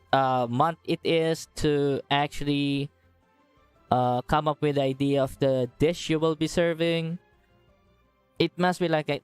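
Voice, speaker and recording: A woman game streamer with a low, smooth voice. She comments casually and slowly, hesitating as she thinks aloud. The sound is dry, with soft game music behind her. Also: no room sound. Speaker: game livestreamer. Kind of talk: casual live commentary over gameplay.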